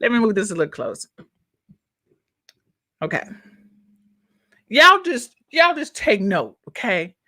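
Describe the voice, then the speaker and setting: A woman coach talks straight to her live audience in a loose, chatty way. Her voice is bright and forceful. She keeps checking in with viewers as she teaches. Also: mid-range voice, big pitch swings, measured pace, long pauses, no room sound, studio quality. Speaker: business coach. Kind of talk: casual live-streamed coaching talk. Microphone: own microphone, checked on her phone.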